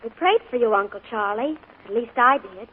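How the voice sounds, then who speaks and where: A woman plays a wounded, earnest young small-town girl in an old radio play. Her voice sits high and carries a lot of feeling. The sound is narrow and scratchy, like a 1940s broadcast with light hiss. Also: lively intonation, fairly quick, lightly acted. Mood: guarded. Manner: earnest, emotional. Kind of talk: 1940s radio drama acting.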